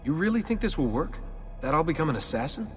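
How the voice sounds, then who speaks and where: A man's voice: a little high, very animated, fast. A man playing a sarcastic young American drafted as an assassin in a video game, with a casual, sardonic delivery. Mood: doubtful. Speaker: actor voicing a game protagonist. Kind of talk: naturalistic video game cutscene acting. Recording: game dialogue with reverb under music.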